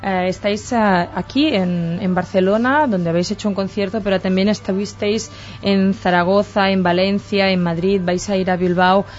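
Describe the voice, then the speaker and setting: A woman with a Catalan accent, presenting and interviewing on the radio in a clear, measured way. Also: mid-range voice, fairly even intonation. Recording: dull lo-fi radio sound with faint music.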